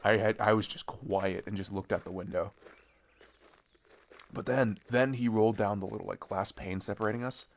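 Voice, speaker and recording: A man's voice: on the low side, steady tone, normal speed, creaky. A young man playing a chatty, sarcastic teenage traveler in a casual audio roleplay, rambling in hesitant slang. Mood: amused unease. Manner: rambling, hesitant, slangy, filler-heavy, giggly. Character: chatty, sarcastic teenage boy traveler swapping road stories.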